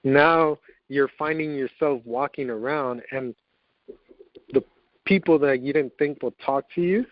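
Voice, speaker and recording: A man talks over a muffled, very dry conference call in a medium-pitched voice. His style is casual and motivational, full of anecdotes and questions he puts to himself. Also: unhurried, no room sound. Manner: informal, anecdotal, self-questioning. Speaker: participant in a self-improvement conference call. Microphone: conference call line. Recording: dull, lo-fi conference call audio.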